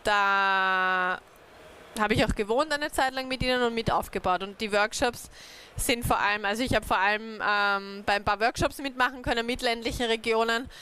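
A woman's voice: a little high, normal speed, pushed and bright, clear, resonant tone. Hesitant young woman giving informal talk. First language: German. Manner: hesitant, many fillers, chatty. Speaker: community network speaker. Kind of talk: informal talk to a small group. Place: dark event room, on stage.

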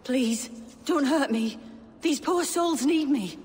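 A woman's voice: high-pitched, slow pace, a little mellow. A woman playing a careworn medieval healer sister in a video game, earnest and careful in her speech. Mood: frightened, wary. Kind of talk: video game cutscene acting. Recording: reverberant hall sound under music.

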